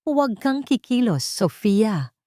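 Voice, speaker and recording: A woman's voice: on the high side, big pitch swings, takes it slowly, warm tone, dry recording, clean studio sound, pitched above the performer's own voice. A woman voicing a frightened, desperate female intruder who makes nervous threats in an audio story. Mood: scared, threatening. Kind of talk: dramatic audio story dialogue.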